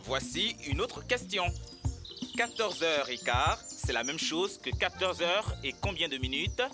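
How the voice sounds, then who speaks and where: A man's voice: fairly high pitch, expressive, measured pace, music in the background. A man voicing a jolly, teasing magic stone clock who sets quizzes in an educational cartoon, with a theatrical, instructive manner. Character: talking magic stone clock, jolly male quizmaster guarding a passage.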